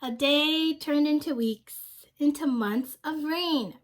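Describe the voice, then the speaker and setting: A woman's voice: high-pitched, takes it slowly, slight background noise. A young woman reading a storybook aloud to children, speaking simply and expressively and prompting her listeners with questions. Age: young adult.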